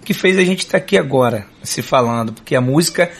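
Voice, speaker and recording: A man's voice: medium pitch, lively intonation, fast, husky. A man with a Rio de Janeiro accent, speaking casually as a radio guest, informal, talkative and earnest. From Niterói, Rio de Janeiro state, Brazil. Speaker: interview guest, samba singer and musician. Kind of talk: casual chat.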